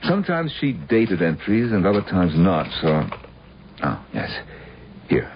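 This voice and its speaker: A brooding widower in a radio drama. His very deep voice creaks and swings widely in pitch as he hesitantly recalls the past. The sound is dull and old. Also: sing-song, unhurried, heavily acted. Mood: subdued, troubled. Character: troubled, brooding widower recounting his dead wife's past. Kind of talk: radio drama acting and first-person narration. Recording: dull, hissy radio broadcast recording.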